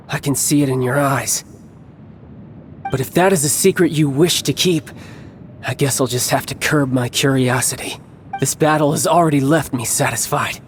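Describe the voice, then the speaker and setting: A man's voice: on the high side, slight background noise, natural voice. Smug, theatrical young villain played by a man. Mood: composed, intrigued. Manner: measured, smug, theatrical, formal phrasing. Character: cocky young battle-hungry male villain, smooth and scheming. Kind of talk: dramatic video game cutscene acting.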